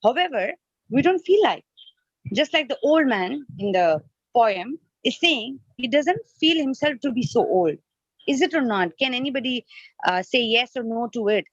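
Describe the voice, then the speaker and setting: A woman who grew up speaking Nepali teaches a devotional class in a somewhat high voice. She explains by repeating and rephrasing, and puts questions to her listeners. The sound is dry and a little muffled, like an online call. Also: animated, moderate pace, no echo. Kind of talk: interactive devotional lecture. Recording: dull lo-fi online call audio.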